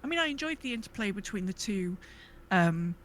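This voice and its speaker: A woman's voice: mid-range voice, sing-song, brisk. A woman co-hosting a podcast in casual gaming chat, thinking aloud in a hesitant, rambling way. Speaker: podcast co-host.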